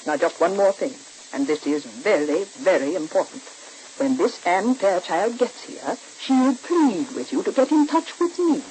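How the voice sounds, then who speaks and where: An actress playing a cold, bossy woman villain who gives deliberate, emphatic orders in the style of 1940s radio soap acting. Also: fairly low pitch, expressive, darkish tone, poor audio. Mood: scheming, commanding. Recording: hissy old radio recording.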